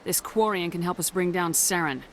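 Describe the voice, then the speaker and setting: Woman acting a tough, low-voiced female commander. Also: fairly even intonation, a little fast, a little hiss or hum, close to an everyday voice. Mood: impatient, firm. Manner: firm, commanding, blunt. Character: tough, threatening female military commander, impatient and blunt. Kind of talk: video game voice acting.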